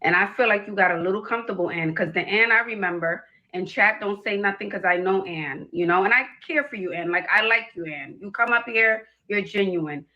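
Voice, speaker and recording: A woman's voice: medium pitch, fairly quick, hardly any pauses, lo-fi sound. A woman hosting a livestream advice session, speaking directly and firmly but with affection. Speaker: relationship advice livestream host.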